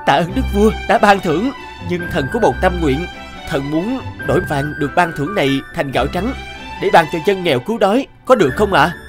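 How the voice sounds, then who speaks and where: A man's voice: high voice, expressive, a little fast, over music. An actor playing an earnest, kindhearted physician in a cartoon, deferential and eager before his king. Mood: grateful, pleading. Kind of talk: cartoon voice acting.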